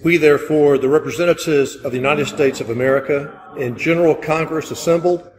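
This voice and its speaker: A man gives a solemn formal reading of a document. His slightly high voice rings with long reverb. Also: brisk. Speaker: volunteer reader. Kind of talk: solemn formal read-aloud of a historical document. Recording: long reverberant hall sound.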